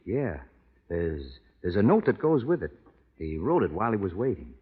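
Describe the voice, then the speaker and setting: A loyal cowhand with a low, husky voice and hesitant folksy phrasing. The radio western recording is muffled, with hall reverb. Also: male voice, sing-song, normal speed. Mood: awkward sympathy. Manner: folksy, hesitant cowboy talk. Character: loyal, plain-spoken cowhand. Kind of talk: 1940s radio western acting.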